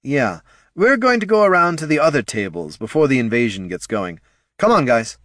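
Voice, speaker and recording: A man's voice: medium pitch, sing-song, moderate pace, a bit bright, dry recording, voice pushed up. A man voicing a brash, callous undead skull who talks like a pushy marketing boss in a fantasy audiobook. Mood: wary. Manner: glib, pushy salesman patter.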